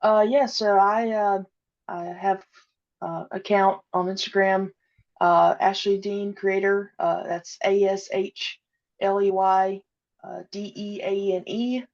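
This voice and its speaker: On slightly muffled, lo-fi audio, a woman with a medium-pitched voice chats as a podcast guest. She is modest and a little hesitant, talking in a casual interview style. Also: fairly even intonation, slow pace, a little mellow.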